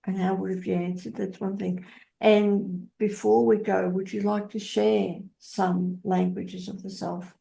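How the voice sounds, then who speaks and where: A woman hosting a spiritual interview, speaking solemnly in halting, short phrases. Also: mid-range voice, little pitch movement, gravelly, dark tone, rough recording. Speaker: interview host.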